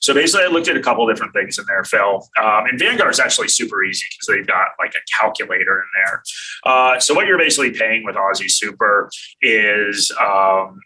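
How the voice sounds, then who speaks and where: An American man hosting a casual live stream about money, with a low, very bright voice that has a husky edge. He talks in a relaxed way, thinking aloud and filling pauses with ums and uhs, and sits a little back from the mic. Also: distant mic, low-quality recording. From United States. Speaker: host answering viewer questions.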